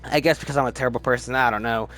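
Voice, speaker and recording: A man giving casual, opinionated commentary as a video host, emphatic and halting, often restarting his sentences. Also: mid-range voice, steady tone, fairly bright tone, faint music behind. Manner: chatty, halting, restarts sentences mid-word. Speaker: movie review video host. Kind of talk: casual ranked-list movie commentary.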